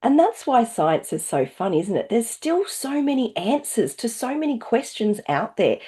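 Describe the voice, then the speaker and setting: A woman's voice: a little high, very animated, normal speed, mellow, breathy edge. A woman hosting a live online educational session, reading out questions in an enthusiastic, encouraging way. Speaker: host reading out audience questions.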